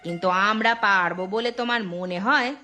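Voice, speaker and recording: A woman's voice: medium pitch, moderate pace, sharp tone, faint music behind, natural voice. A woman voicing a supportive, feisty village wife in a cartoon, speaking in an emphatic, pointed way. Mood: curious, doubtful. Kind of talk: animated moral story dialogue.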